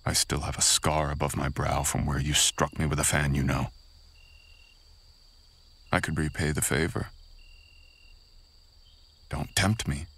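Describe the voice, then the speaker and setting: Man playing a very deep, gravelly samurai captor. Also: flat delivery, fairly bright tone, full character voice. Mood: sardonic, taunting. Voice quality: husky, gravelly, breathy with whispering. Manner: slow, taunting, intimate menace. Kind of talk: boyfriend ASMR audio roleplay.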